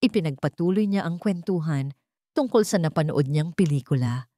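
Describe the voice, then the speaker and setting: A woman audiobook narrator reading a dramatic story with suspenseful, deliberate phrasing. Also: low-pitched, lively intonation, warm tone.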